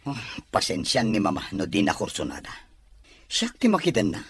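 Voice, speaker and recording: A man's voice: fairly low pitch, wildly swooping pitch, moderate pace, slightly rough, full character voice. An actor with an Ilocano accent playing an earnest young man in love in a radio drama. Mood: anxious, pleading.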